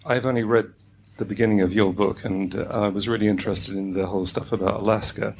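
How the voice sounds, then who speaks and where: A man's voice: fairly low pitch, fairly even intonation, fast talker, creaky, a little hiss or hum. A man in an audience asking a rambling, conversational question in a hesitant, discursive way.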